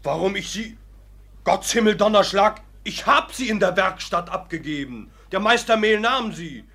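A man's voice: sits high, expressive, normal speed, bright, forceful tone, full character voice. An actor playing a hot-headed, blunt young peasant man in a classic comedy radio play. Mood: indignant, defiant. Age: young adult.

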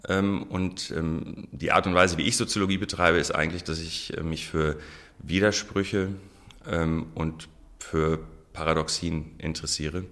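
A man's voice: low voice, steady tone, unhurried, fairly bright tone. A man in his forties, a sociologist giving a radio interview, thinking aloud with frequent ums and restarts. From Germany. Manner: hesitant, many ums, restarts. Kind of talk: radio interview, thoughtful answers.

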